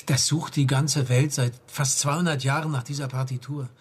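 A man playing an earnest, hesitant music expert who is easily dismayed, in a radio crime drama, with a husky voice. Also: mid-range voice, steady tone, moderate pace. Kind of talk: naturalistic German radio crime drama dialogue.

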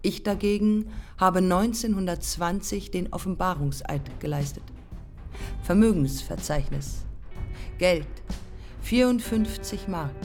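Slow, low-voiced actress as a proud woman aviator. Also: faint music behind, lightly acted. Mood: bitter, matter-of-fact. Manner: terse, deliberate, pausing between short phrases. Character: proud, embittered pioneer woman aviator looking back. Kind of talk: German radio play monologue, clipped fragments.

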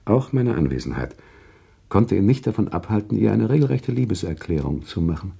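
An actor playing an earnest, sentimental author who tells a story in a radio play, with deliberate, expressive literary delivery. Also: male voice, lowish voice, gravelly, husky, exaggerated voice. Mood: reflective. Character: male author narrator recounting a sad love story, earnest and sentimental. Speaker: narrator.

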